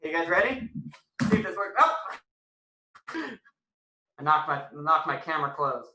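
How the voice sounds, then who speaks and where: A science presenter with a bright, forward voice gives a casual live demonstration for kids. The delivery is chatty and playful, and the room adds noticeable echo. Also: medium pitch, lively intonation, moderate pace, slight background noise. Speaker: science show presenter for children. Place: indoor room, live online video call. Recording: roomy echo.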